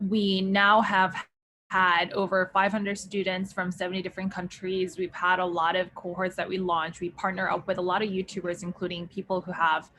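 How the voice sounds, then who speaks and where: A woman's voice: fairly low pitch, flat delivery, normal speed, pushed and bright, slight background noise. A young woman from South Korea, a startup founder answering interview questions in a chatty way with many fillers and restarts.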